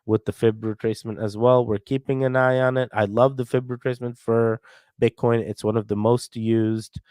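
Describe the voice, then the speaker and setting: A man's voice: mid-range voice, level tone, moderate pace, a little mellow, smooth, no echo, clean studio sound. A man hosting a casual livestream, chatting to viewers about price charts while congested from a cold. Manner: chatty, talks straight to viewers and chat. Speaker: crypto livestream host. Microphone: headphones with mic.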